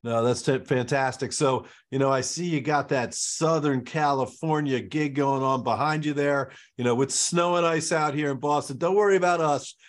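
A man's voice: a little high, moderate pace, few pauses. A man hosting a podcast, chatting casually in a jokey, chummy way with his guest. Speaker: podcast host. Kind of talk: casual interview chat. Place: Boston.

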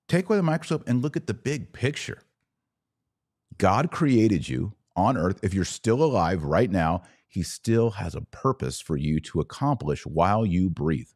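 A man's voice: on the low side, darkish tone, breathy edge. An American man hosting live religious and political commentary, speaking in an emphatic, preachy yet conversational way. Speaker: podcast host and religious commentator.

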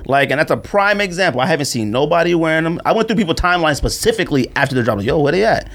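A man with a slightly high voice hosts a casual podcast. His pitch swings widely as he talks, and he comes across as animated and opinionated, using plenty of slang. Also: rapid-fire. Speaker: podcast host. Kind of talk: casual sneaker podcast chat.

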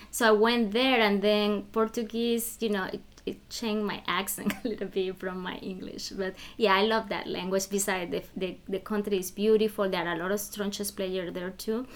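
A woman from Venezuela with a Venezuelan Spanish accent, a guest on a podcast. Her voice sits at an ordinary middle pitch. She searches for words, leaning on fillers and repeats. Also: steady tone, moderate pace, few pauses, fairly bright tone. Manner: hesitant, many fillers and restarts. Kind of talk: podcast interview.

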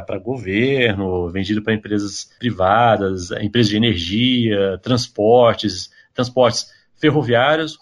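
A man from Brazil, a guest in a casual podcast interview, explaining at length with frequent fillers and tag questions. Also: medium pitch. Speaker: interview guest, tech company employee.

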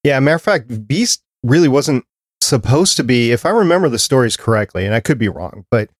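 A man's voice: medium pitch, lively intonation, fairly quick, no echo. A man hosts a casual panel podcast in a mid-range voice. The recording is dry and close, with hardly any room sound. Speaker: podcast host.

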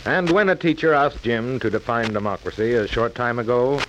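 A man's voice: medium pitch, normal speed, fairly bright tone, lightly acted. An actor as an earnest, stately male narrator of a 1940s educational radio drama. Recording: dull, noisy 1943 radio recording.

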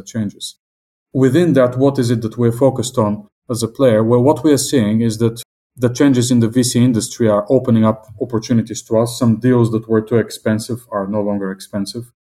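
A middle-aged man from Southern Africa with a foreign accent speaks at a middle pitch. He answers as an investment executive, measured and upbeat, pausing on fillers and small restarts. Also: warm tone. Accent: non-British English accent. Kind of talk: business interview answers.

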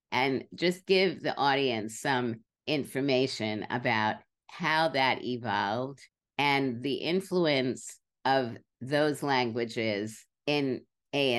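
Woman interviewer, very deep voice, lively melodic delivery. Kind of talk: interview questions.

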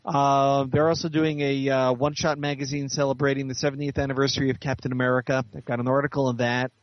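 A man's voice: medium pitch, flat delivery, fast talker, dry recording, lo-fi sound. A man being interviewed as a guest author, chatting casually and explaining things at length.

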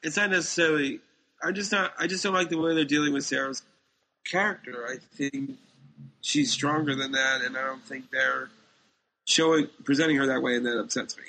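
A man's voice: medium pitch, fairly even intonation, fairly quick, bright, forceful tone, low-quality recording. A man co-hosting a podcast, giving blunt opinions in casual conversation. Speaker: podcast co-host.

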